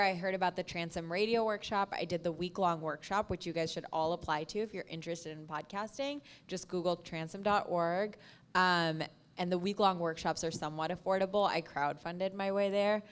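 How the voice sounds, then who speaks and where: A young woman with an American accent and a smooth, clear voice sitting slightly low. She talks informally as a podcast producer on a panel, blunt and wry about her working life. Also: a bit bright. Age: young adult. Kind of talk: casual spoken career story at a panel.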